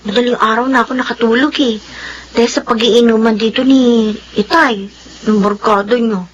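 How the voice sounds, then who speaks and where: An actress playing a tired, grumbling younger sibling who whines and complains in a radio drama. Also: female voice, on the high side, fairly even intonation, moderate pace, dull audio, slight background noise, lightly acted.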